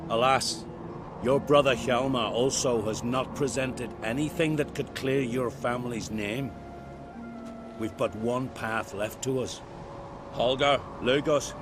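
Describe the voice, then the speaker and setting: An actor playing a stern clan lord in a fantasy game, speaking formally and with solemn authority. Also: male voice, medium pitch, lightly acted. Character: stern clan lord presiding over a council. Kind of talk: dramatic fantasy game acting. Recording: dramatic score behind dialogue.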